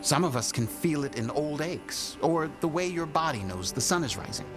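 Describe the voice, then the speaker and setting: An actor playing a gentle Qunari man, speaking in a measured, formal way. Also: fairly high pitch, lively intonation, lightly acted. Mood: calm. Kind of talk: video game cutscene dialogue. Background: music.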